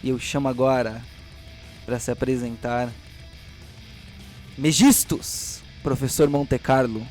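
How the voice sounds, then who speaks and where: A man's voice: medium pitch, fairly even intonation, slow pace, long pauses, a little mellow, soft background music. A man narrating and hosting an audio role-playing drama as game master.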